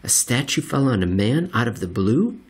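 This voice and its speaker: A man narrating a written essay aloud in a deliberate, theatrical way with pointed emphasis. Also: mid-range voice, very animated, husky. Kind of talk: scripted narration, dramatic essay reading.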